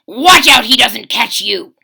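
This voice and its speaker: Woman as an old crocodile, bright-voiced and cautionary. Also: on the high side, animated, a little slow, gravelly, slightly rough, heavily acted, rough recording. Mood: warning. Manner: urgent, warning. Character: wise old male crocodile, grave and cautionary.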